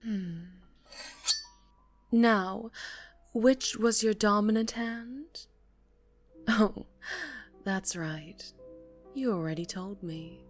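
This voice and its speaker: A woman playing a sadistic, seductive mafia boss in an ASMR roleplay, teasing and menacing, often dropping into breathy whispers. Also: medium pitch, very slow, pauses often, faint music behind. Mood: seductive menace.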